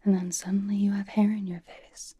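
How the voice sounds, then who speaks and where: A woman's voice: mid-range voice, flat delivery, a little fast, mellow, breathy edge. A woman playing a blunt, doting young pop idol in a whispered ASMR roleplay, with a casual, dry, slangy delivery.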